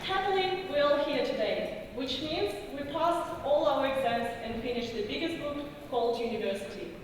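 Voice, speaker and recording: A woman's voice: sits high, expressive, normal speed, a faint crowd. A young woman with a non-native English accent gives a formal, carefully delivered prepared speech as a student representative at a ceremony. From outside Australia. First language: not English. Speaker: student speaker at a graduation. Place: large graduation hall. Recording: echoey hall, distant mic, noisy.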